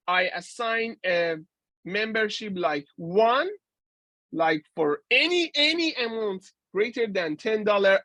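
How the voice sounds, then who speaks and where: A man in his forties delivers a university lecture at an unhurried, careful pace. He often stops mid-phrase and starts again, filling the gaps with hesitations. The sound is dry and close, with no room echo. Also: sits high, fairly bright tone. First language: Persian. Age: 40s. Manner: halting, with many restarts and fillers. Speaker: guest lecturer.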